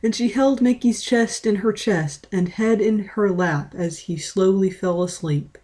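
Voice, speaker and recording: A woman narrating a scripted story as an amateur reader in a deadpan manner. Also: mid-range voice, measured pace.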